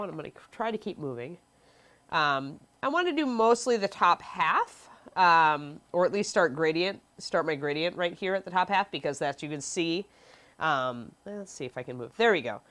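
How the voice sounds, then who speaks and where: A woman's voice: on the low side, a bit bright. A sniffly young woman, stuffy from allergies, giving a chatty live painting tutorial and answering chat questions. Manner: chatty, reads chat questions aloud, frequent ums. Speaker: miniature painting instructor.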